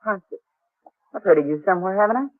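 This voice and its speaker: A woman's voice: medium pitch, expressive, fast talker, natural voice. An actress playing a tough, suspicious young frontier woman in a radio western, brusque and defensive, with an American Western accent. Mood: guarded. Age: young adult. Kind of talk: 1950s radio western acting. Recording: tinny old radio recording.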